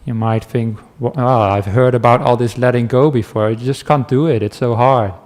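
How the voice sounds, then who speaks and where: A man from the Netherlands with a Dutch accent, a meditation teacher giving a relaxed talk to a class, telling stories with asides and small laughs. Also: medium pitch, moderate pace. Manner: gentle, chatty storytelling with asides and small laughs.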